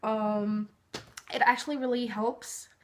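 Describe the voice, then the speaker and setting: A woman's voice: a little high, little pitch movement, slow, slight background noise. A young woman from Norway, a native Norwegian speaker, giving casual tips in a chatty vlog full of fillers. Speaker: vlogger.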